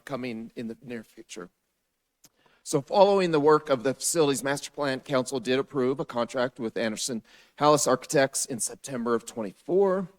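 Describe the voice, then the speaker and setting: A man with a hoarse, raspy voice giving a steady, businesslike staff briefing to a city council in council chambers. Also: medium pitch.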